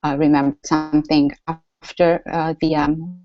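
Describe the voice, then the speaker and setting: A woman's voice: low voice, level tone, measured pace, dull audio. A woman with a Romanian accent hosting a live online workshop, chatty and full of fillers and restarts. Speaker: workshop host. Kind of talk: live webinar teaching.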